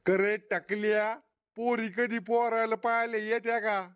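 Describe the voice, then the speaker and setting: A man's voice: high voice, flat delivery, unhurried, a bit bright, clear, resonant tone, dry recording. A man voicing a cantankerous, boastful old village grandfather in a comedy cartoon, blunt and quarrelsome. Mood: gruff. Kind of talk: Marathi comedy cartoon acting.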